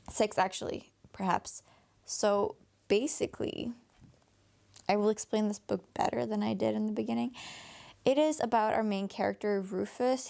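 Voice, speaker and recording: A tired woman with a raspy voice, a Danish native speaker from Denmark, chatting through a casual book review with lots of fillers and restarts. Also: medium pitch, a little mellow. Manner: rambling, many fillers and restarts. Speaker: book vlogger.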